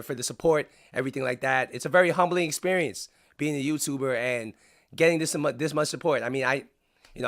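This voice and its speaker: A man talking through video-game commentary in a casual, chatty way, sounding raspy because he is under the weather. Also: on the high side, a bit bright. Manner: casual and chatty, with frequent "um" fillers. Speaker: YouTuber. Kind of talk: gaming commentary.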